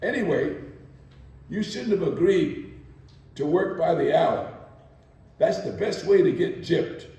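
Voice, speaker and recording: A man's voice: on the high side, animated, takes it slowly, pauses often, warm tone, voice pushed up. A man voicing a seasoned, streetwise woman day worker who gives blunt, laconic advice in a dramatic reading. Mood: dismissive, cautioning. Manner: laconic, warning, colloquial. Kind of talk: dramatic reading of archival testimony. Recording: echoey room, mic far away.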